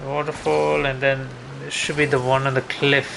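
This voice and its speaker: Creaky-voiced man musing over a game. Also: medium pitch, little pitch movement, moderate pace, noisy background. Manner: musing, thinking aloud to himself. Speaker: game streamer. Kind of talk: casual gameplay commentary. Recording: game music behind the voice.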